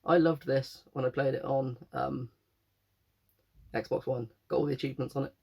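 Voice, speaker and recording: A man's voice: medium pitch, fairly even intonation, normal speed, gravelly, darkish tone, husky. A young man with a cold, chatting casually and rambling about his latest finds, often correcting himself. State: croaky with a cold. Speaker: game collector vlogger. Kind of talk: casual chat.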